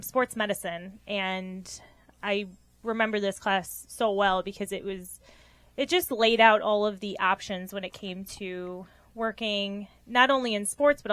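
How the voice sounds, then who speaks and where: A woman's voice: mid-range voice, a bit bright, no room sound. A young woman from Rochester, New York, a physical therapist guest giving earnest interview answers with frequent ums.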